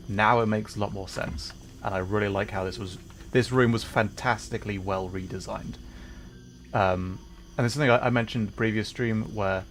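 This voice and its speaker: A man's voice: on the low side, slight background noise. A man streaming a game live, explaining level design in an enthusiastic, rambling way. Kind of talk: live gameplay commentary.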